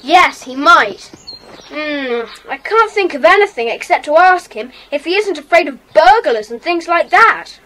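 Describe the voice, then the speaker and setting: A woman's voice: high voice, animated, a little slow, pushed and bright, full character voice, poor audio. A woman playing a bold, inquisitive young boy detective with an eager, earnest manner in a children's audio drama. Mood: curious, eager.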